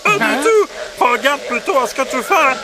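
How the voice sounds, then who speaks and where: A woman's voice: sits high, lively intonation, normal speed, heavy background noise. A woman voicing a cocky, squabbling rooster in a dubbed fairy-tale cartoon. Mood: indignant. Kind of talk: French cartoon dubbing. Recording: music and rain behind the voice.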